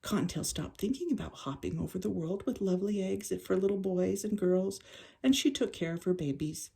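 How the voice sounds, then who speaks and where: Older lady reading kids a storybook, pretty husky n breathy, soft mellow low-ish voice. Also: animated, fairly quick. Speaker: grandmother reading a children's story. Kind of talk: storybook read-aloud for children.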